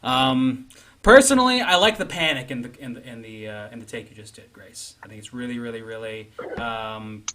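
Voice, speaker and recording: A man's voice: medium pitch, animated, normal speed, pushed and bright. A man playing an enthusiastic, pretentious commercial audition director, effusive and rambling in improvised comedy banter. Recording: background noise and clicks.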